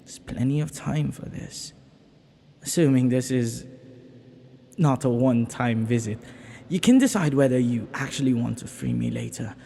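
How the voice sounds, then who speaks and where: A man's voice: medium pitch, normal speed, gravelly, breathy edge. A man playing a flirty, ancient banished villain in an intimate audio roleplay, lonely and teasing, with hesitant, murmuring delivery. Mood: curious, musing. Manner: flirtatious, hesitant, breaking into murmurs and soft chuckles.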